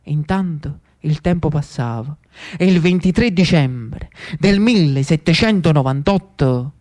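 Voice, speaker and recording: A man's voice: a little high, a little slow. Theatrical Neapolitan male storyteller. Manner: dramatic, expressive storytelling. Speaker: actor and storyteller. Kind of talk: theatrical scripted narration of a historical monologue.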